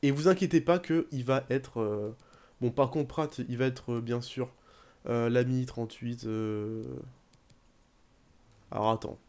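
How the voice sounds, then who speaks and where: A young man commenting casually on his football video game career, rambling, with bursts of excitement. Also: mid-range voice, a little slow, pauses often, clear, resonant tone. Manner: chatty, rambling, bursts into excited shouting at goals. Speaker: gaming YouTuber.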